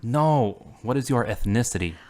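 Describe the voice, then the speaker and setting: A man's voice: mid-range voice, lively intonation, moderate pace, dark tone, lightly acted. An actor playing an earnest young man recovering from an accident, who talks sincerely and asks many questions. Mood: curious. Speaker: hospital patient. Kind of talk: amateur web drama acting.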